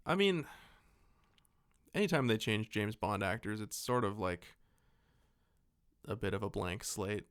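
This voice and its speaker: A man's voice: fairly low pitch, big pitch swings, measured pace, pauses often, a bit bright, clear, resonant tone. A man hosting a podcast, chatting informally and casually about films and shows. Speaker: podcast host.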